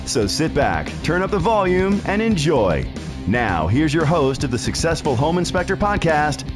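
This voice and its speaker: A man reading a scripted podcast intro as an announcer, with dramatic pauses. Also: on the high side, sing-song. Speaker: show announcer. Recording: voice over guitar music.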